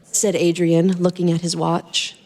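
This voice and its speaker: A woman's voice: fairly low pitch, completely flat delivery, measured pace, a little mellow. Woman narrating a story with long reverb. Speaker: podcast host reading fan fiction.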